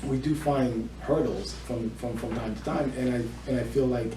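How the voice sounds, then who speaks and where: A man's voice: medium pitch, fairly even intonation, normal speed. A middle-aged man speaking as a mortgage loan officer, explaining his work as an interview guest. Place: indoor room with small audience. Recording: echoey room, distant mic, faint noise.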